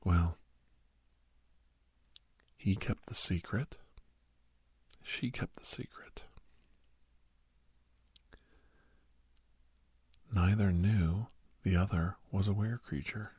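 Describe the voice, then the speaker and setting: A man playing a kindly doctor in an ASMR roleplay, speaking in a hushed, breathy whisper with pauses. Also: sits low, no echo, heavily acted. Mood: confiding. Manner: hushed, unhurried, with pauses and soft chuckles. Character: kindly doctor advising a young werewolf, old family friend.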